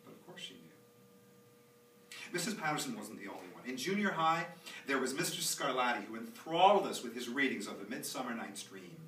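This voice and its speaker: Animated, anxious young dad acted by a man onstage. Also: mid-range voice, a little fast. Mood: fond, reminiscing. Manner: rambling, theatrical, expressive. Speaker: author performing own monologue. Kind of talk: live theatrical monologue reading. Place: small theatre stage, recorded live from a distance. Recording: roomy, distant, noisy live theatre recording.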